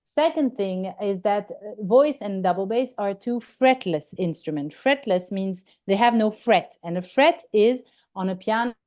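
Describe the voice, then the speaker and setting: An Italian-accented woman with a fairly high but soft, mellow tone talks through her ideas in a relaxed interview. She often pauses to hunt for the right English word, restarts phrases, and checks in with a questioning "no?" at the end of her sentences. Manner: searching for words, tags sentences with "no?". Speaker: singer and guest. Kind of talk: conversational interview answers.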